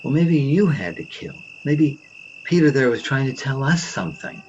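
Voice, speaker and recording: A man's voice: mid-range voice, very animated, normal speed, darkish tone, highs cut off, a little hiss or hum, lightly acted. A man playing a suspicious, aggressive neighbor in a radio play, pointed and taunting in delivery. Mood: accusing. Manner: pointed, confrontational.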